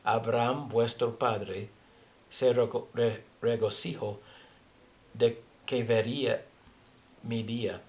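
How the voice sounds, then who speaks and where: A man with a foreign accent, a native English speaker, teaching scripture haltingly as he searches for words. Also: mid-range voice, fairly even intonation. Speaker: religious teacher. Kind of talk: Bible teaching with scripture reading.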